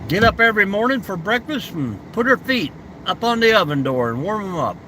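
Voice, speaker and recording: A man's voice: high voice, big pitch swings, a little fast, pushed and bright, a little hiss or hum, poor audio. An older man hosting a casual live stream, answering viewer comments in an easygoing, folksy way and reminiscing about the old days.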